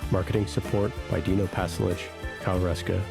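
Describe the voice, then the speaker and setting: A man's voice: low voice, little pitch movement, fairly quick, gravelly. A man announcing a podcast, reading scripted sponsor messages and credits. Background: music.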